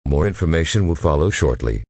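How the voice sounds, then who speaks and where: Very deep, husky male alert announcer. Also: expressive, fairly quick, a little mellow, dry recording. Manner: formal, official. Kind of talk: scripted emergency alert announcement.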